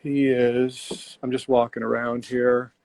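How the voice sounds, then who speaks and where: A man's voice: medium pitch, little pitch movement, takes it slowly, a little mellow, poor audio. An older man, a bootmaker, chatting casually in an interview over a video call, rambling and restarting his sentences. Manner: rambling, repeats words and restarts. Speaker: bootmaker and shop owner. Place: home workshop.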